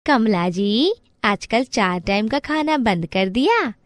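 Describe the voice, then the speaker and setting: A woman's voice: on the high side, animated, slow, no room sound. A woman playing a nosy, gossipy middle-aged neighbour auntie in a family story cartoon. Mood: prying, surprised. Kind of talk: Hindi family moral-story cartoon acting.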